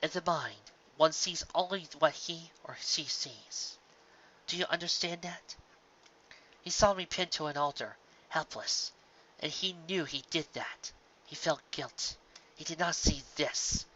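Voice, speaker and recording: A reader voicing a calm, wise elder pony princess with a grave, measured delivery and few words. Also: mid-range voice, lo-fi sound.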